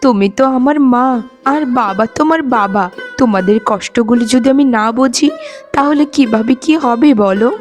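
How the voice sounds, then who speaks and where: A woman's voice: on the high side, moderate pace, close to an everyday voice. A woman voicing a poor, earnest, dutiful young daughter in a cartoon, speaking with a pleading manner. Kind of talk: cartoon voice acting. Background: faint music.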